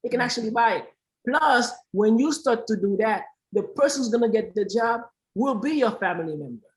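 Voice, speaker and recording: A woman's voice: a little high, animated, fairly quick, low-quality recording. A woman from Haiti speaking as a panel guest, impassioned and emphatic, repeating phrases for stress. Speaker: aid organization founder, panel guest.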